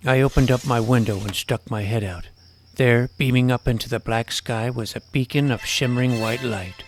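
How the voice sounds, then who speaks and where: A man playing an earnest, self-important junior high superhero who narrates his own adventure with mock-dramatic delivery. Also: fairly even intonation, moderate pace, slight background noise, lightly acted. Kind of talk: scripted first-person story narration.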